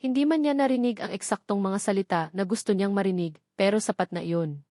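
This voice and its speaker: A woman's voice: medium pitch, fast talker, mellow, dry recording, clean studio sound. A woman with a Filipino accent giving a dramatic scripted narration of a romance audiobook.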